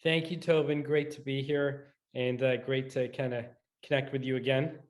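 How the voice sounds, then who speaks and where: A middle-aged man originally from Scotland speaks as an interview guest. His pitch is mid-range and stays quite flat, and he talks in a measured, conversational way, pausing often as he forms his answers. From Scotland, moved to the US in high school. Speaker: guest, orthopedic surgeon.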